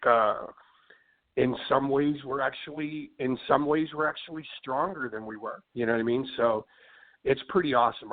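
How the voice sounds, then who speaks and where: A man from Philadelphia with a Philly accent, a rock singer chatting casually in an interview, rambling with lots of fillers and restarts. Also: mid-range voice. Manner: rambling, many fillers and restarts, says "you know what I mean". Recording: tinny phone line.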